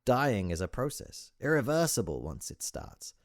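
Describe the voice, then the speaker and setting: A man voicing a mischievous, falsely modest young hermit sage in an audiobook, with a theatrical, gleeful sales-pitch manner. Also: very animated, normal speed, no echo, clean studio sound, close to an everyday voice. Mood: gleeful, insistent.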